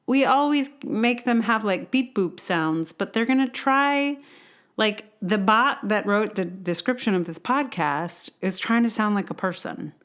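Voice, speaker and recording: A woman talking casually and thinking aloud as she introduces a song on her podcast. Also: mid-range voice. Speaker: podcast host, ukulele player.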